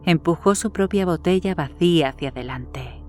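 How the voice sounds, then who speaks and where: A woman narrates a horror story in a low register. Her delivery is scripted storytelling, set over soft background music. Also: normal speed.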